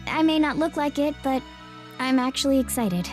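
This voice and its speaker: A woman voicing a reserved, gentle young event guide with a hesitant, halting manner in an English anime game dub. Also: high voice, lively intonation, faint music behind.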